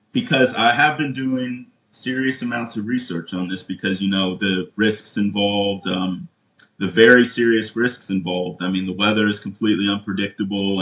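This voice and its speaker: A man calling as a customer, asking questions in a halting, earnest and insistent way. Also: lowish voice, fairly bright tone. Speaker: caller, prospective customer. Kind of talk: phone inquiry, questioning. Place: indoor room, phone call. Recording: roomy echo on a recorded call.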